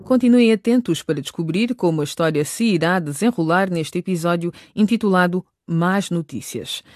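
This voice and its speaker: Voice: medium pitch, moderate pace. A scripted narrator of a radio drama, with a mid-range voice. The sound is dry and close, free of room echo.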